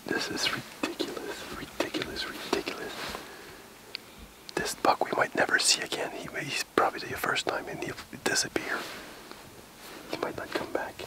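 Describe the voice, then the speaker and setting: A man's voice: moderate pace, sharp tone, low-quality recording. A man hunting from a tree stand, whispering urgently and with frustration. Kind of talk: whispered field talk.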